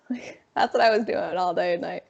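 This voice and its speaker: A young woman in her twenties with a raspy voice, a guest telling her story in a casual, animated interview. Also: on the low side, normal speed. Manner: animated, frequent fillers. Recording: dull lo-fi sound.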